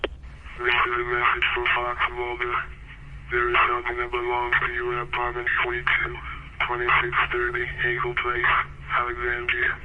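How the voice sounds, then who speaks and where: An actor playing a mysterious caller leaving a flat, cryptic voicemail in an audio drama. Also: male voice, medium pitch, normal speed. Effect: telephone voicemail. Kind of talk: scripted audio drama phone message. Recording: dull, very noisy phone line.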